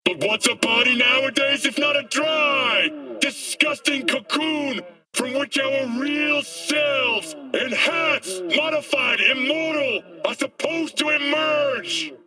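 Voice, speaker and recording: An actor playing a fiery dystopian street preacher in a video game, ranting in an impassioned, declamatory way. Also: male voice, very high voice, slow pace, distant mic, music in the background, poor audio. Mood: bitter, preaching. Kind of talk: dramatic video game monologue.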